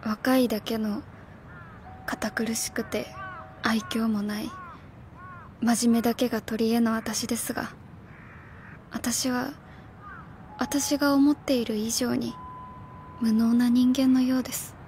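High-voiced earnest young schoolteacher played by an actress. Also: female voice, flat delivery, slow pace, pauses often, darkish tone, a little hiss or hum. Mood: downcast. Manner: formal, polite, earnest. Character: earnest, stiff young female schoolteacher, proud and vulnerable. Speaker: radio drama actress. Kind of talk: Japanese radio drama acting.

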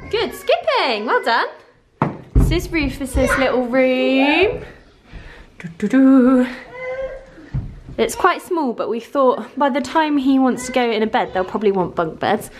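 A young woman filming a casual vlog tour of her new empty house, holding the camera at arm's length and talking in an excited, giggly way. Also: sits high, a little slow, long pauses, noisy background. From England. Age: young adult. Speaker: vlogger and mother. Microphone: handheld camera at arm's length.

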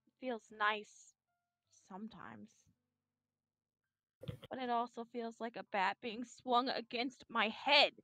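A depressed teenage schoolgirl from a visual novel, read aloud by a woman, with a high voice and unhurried delivery. She sounds hurt and self-effacing, sorrowful in every phrase, recorded close and dry. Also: pushed and bright, dry recording, soft background music, full character voice. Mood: hurt, pleading. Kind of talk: staged reading of a visual novel.

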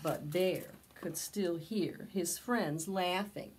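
A woman's voice: fairly low pitch, slow, a little mellow, slight background noise. A middle-aged woman reading a picture book aloud to preschoolers, expressively, stretching out words for young listeners. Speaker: library storytime reader. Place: indoors, in front of a decorated backdrop.

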